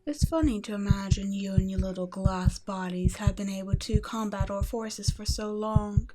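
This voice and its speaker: A woman voicing a cold, teasing female vampire scientist in an ASMR roleplay, speaking softly and often in a whisper, mocking and condescending. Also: mid-range voice, normal speed, over loud music, lightly acted. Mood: clinical. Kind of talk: ASMR roleplay audio drama.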